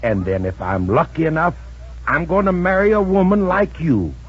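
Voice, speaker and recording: A man's voice: a little high, sing-song, slightly rough. An American actor playing a bumbling, wheedling sea captain in a radio comedy, stammering and gushing at his sweetheart. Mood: sweet-talking. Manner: stammering, drawn-out hesitations. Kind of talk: 1940s radio comedy acting. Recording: muffled old radio broadcast recording.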